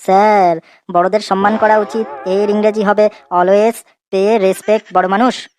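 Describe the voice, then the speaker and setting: Woman as a cheeky cartoon schoolboy, even-toned. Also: mid-range voice, measured pace, a little hiss or hum, lightly acted. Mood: eager, unsure. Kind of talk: exaggerated comic cartoon dialogue.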